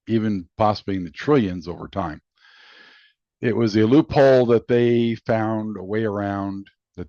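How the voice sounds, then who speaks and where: A man hosting a hobbyist video, giving casual, unscripted commentary in a halting, rambling way. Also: deep voice, a little mellow, no room sound. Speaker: video host and amateur radio hobbyist. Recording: dull lo-fi sound.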